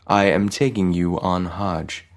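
A man's voice: sits low, fairly even intonation, measured pace. A man reads the part of a serene archangel from a short story. He proclaims with joyful grandeur and reassures without hurry, solemn and sure of himself. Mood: joyful proclaiming. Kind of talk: audio short story character reading.

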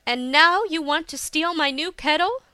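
A woman's voice: high-pitched, expressive, moderate pace, dry recording. A woman voicing a feisty, scolding young tea-house woman, indignant and emphatic, in a storybook reading. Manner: indignant, emphatic, sharp-tongued.

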